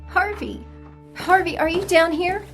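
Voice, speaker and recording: A woman playing an anxious, devoted young wife in an audio drama, soft and hesitant. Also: very high voice, measured pace. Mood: frightened. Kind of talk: fan audio drama acting. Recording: music under the voice.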